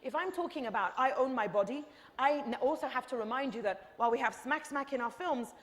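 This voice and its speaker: Woman, fired up, keeps repeating herself for punch, kinda high voice, big echoey hall. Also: a little fast. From Egypt. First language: Arabic. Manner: impassioned, rhetorical repetition. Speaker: author and panel speaker. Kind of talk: lecture Q&A answer. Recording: reverberant hall.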